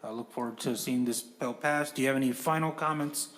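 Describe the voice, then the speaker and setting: Man as committee chair, average pitch, formal procedural delivery, slight noise in the room. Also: brisk, a bit bright.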